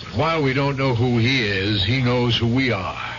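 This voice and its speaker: A man plays a cautious, calculating partner in a vintage radio drama. He speaks deliberately at a middling pitch, as if weighing options. The sound is dull and plainly noisy. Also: a bit bright, lightly acted. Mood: grim, uneasy. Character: wary, calculating male partner in a manhunt. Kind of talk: American old-time radio drama acting. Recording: muffled, noisy old radio recording.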